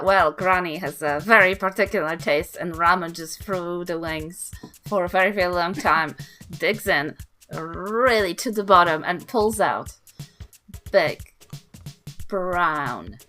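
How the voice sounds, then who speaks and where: A woman's voice: mid-range voice, bright, forceful tone. A woman in her thirties with a New Zealand accent, joking and improvising as a player in a tabletop game. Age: 30s. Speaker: player in a tabletop role-playing podcast. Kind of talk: casual banter and improvised character description.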